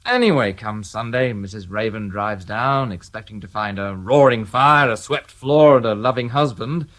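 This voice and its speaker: An actor playing a weary, dry-witted police detective inspector in a radio drama. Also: male voice, lowish voice, moderate pace, fairly bright tone, close to an everyday voice. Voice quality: dry, understated, wry.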